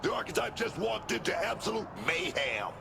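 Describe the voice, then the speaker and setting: High-pitched, gravelly wrestling commentator man. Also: big pitch swings, normal speed, loud music behind the voice, exaggerated voice. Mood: excited. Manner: dramatic, emphatic delivery. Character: excitable male pro wrestling TV commentator. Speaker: sports commentator. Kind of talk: wrestling commentary in a video game.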